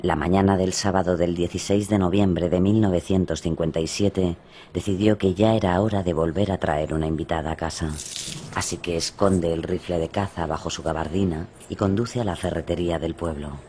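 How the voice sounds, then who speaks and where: Very deep male true-crime narrator. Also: steady tone, a little fast, mellow. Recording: faint background ambience noise.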